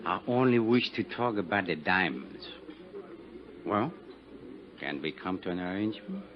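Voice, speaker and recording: A shady French-accented gangster in an old radio drama. His voice sits on the low side and swings freely, oily and evasive with courteous menace. The muffled vintage broadcast has crowd murmur behind it. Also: lively intonation, unhurried, noisy background. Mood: smooth, guarded. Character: shady, suave Algiers gangster, sly and menacing. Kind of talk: 1950s radio drama acting.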